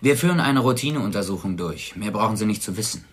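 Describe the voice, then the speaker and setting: An actor playing a bullying police detective in a radio crime drama, curt, hostile and authoritative. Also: male voice, fairly low pitch, animated, quick pace, breathy edge. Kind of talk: German radio play acting.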